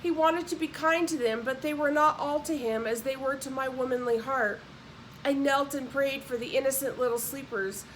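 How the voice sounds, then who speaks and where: A woman narrates a book in a clearly high voice. Her reading is expressive and slips into casual explanation. The recording has a light layer of noise. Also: poor audio. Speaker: audiobook narrator.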